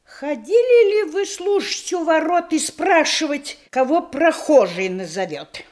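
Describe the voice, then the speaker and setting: An actress playing a sly, merry old provincial aunt in a verse radio comedy, with a folksy, teasing, affectionate way of talking. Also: female voice, high voice, sing-song, a little slow, darkish tone. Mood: sly probing. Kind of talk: theatrical verse comedy radio play acting.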